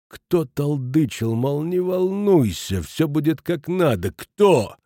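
Male narrator as menacing angry man, drawn-out hissed threats, growling through teeth, dry audiobook sound. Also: fairly low pitch, breathy edge, dry recording, voice pushed down, heavily acted. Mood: furious. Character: furious, menacing brutish man demanding his money.

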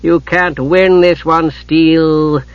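Fantasy audiobook villainess, performed by a man: cold warrior mother, raised pitch, sneering, commanding, emphatic, dry studio sound. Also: moderate pace, fairly bright tone, no echo, muffled recording. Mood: menacing. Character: cold, imperious warrior mother, scornful and domineering ghostly knight.

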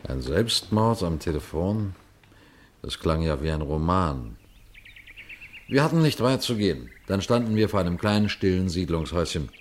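An actor playing a police detective who tells his case to listeners in a deliberate 1950s radio crime play style. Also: low-pitched, lively intonation, slight background noise. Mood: grave storytelling. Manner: deliberate, rhetorical questions to the listener.

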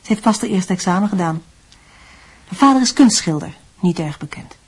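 An actress playing a doting, evasive middle-aged mother in a Dutch radio drama, explaining things gently and sidestepping. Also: on the low side, animated, moderate pace, husky.

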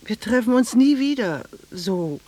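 An actress playing a blunt, wistful middle-aged village cashier in a German radio drama, speaking in a deliberate, intimate way. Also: female voice, fairly high pitch, animated, a little mellow, no echo.

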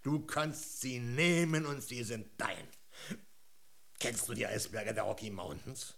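A man's voice: medium pitch, measured pace, vocal fry, fairly bright tone. A man playing a fervent, taunting frontier storyteller in a German radio drama, declaiming theatrically. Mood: taunting, excited. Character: fervent male frontier storyteller luring listeners westward.

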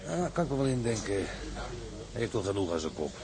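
An actor playing a gruff, cynical seaman in a Dutch audio drama, blunt, resigned and colloquial. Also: fairly low pitch, very animated, brisk, a crowd in the background.

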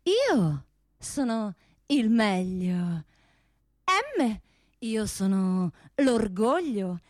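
A woman's voice: mid-range voice, big pitch swings, extremely slow, a little mellow, no echo, studio quality. A woman voicing a proud, seductive female pistol that recites its own parts like an incantation in a theatre monologue. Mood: proud, incantatory.

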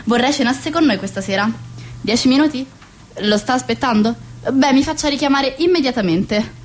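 Radio play: woman as gushing, bossy society hostess, high voice, some background noise. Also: moderate pace, natural voice. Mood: bossy, brisk. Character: fussy, social-climbing society hostess and mother.

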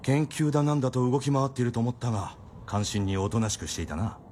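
An actor playing a composed, paternal doctor in a radio drama, speaking in a measured, avuncular way. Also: male voice, mid-range voice, animated, a little mellow, slight background noise, lightly acted. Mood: satisfied. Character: composed male doctor, paternal and drily familiar.

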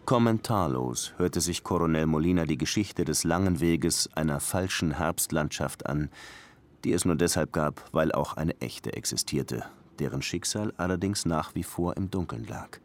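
A man's voice: very deep voice, normal speed. An actor playing a reflective detective who narrates his case in a radio drama, composed, literary and dryly ironic. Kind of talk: scripted first-person radio drama narration. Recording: music under the voice.

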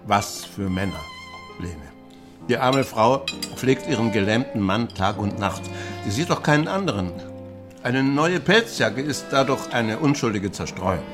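Over music, a man plays a brooding country pastor and husband in a radio drama. He speaks curtly and reprovingly, with a widely moving pitch at a middling height. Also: expressive, unhurried, close to an everyday voice. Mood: defensive. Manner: curt, evasive, reproving. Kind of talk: naturalistic German radio play acting. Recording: music behind the voice.

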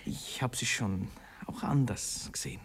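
Man playing a murmuring, eager young Viennese gentleman. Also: mid-range voice, in a whisper, close to an everyday voice. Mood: coaxing, aroused. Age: young adult. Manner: halting, coaxing, breathy murmuring. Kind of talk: 1960s radio play acting.

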